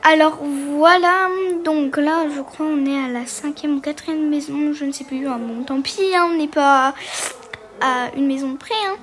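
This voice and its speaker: Hesitant, chatty woman with a high voice narrating her gameplay; faint noise in the background. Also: fairly even intonation, unhurried, fairly bright tone. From Portugal. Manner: hesitant, many fillers, chatty. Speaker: gaming video creator. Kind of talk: casual game walkthrough commentary.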